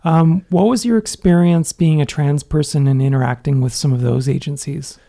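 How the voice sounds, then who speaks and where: A male interviewer with a mellow, dark tone and some gravel in his voice. He keeps to brief, quiet prompts and short questions. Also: fairly high pitch, steady tone, normal speed.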